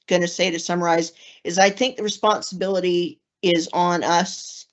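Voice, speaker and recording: A woman chairing a committee meeting, diplomatic and gently redirecting speakers. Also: lowish voice, steady tone, normal speed. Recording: dull lo-fi meeting audio.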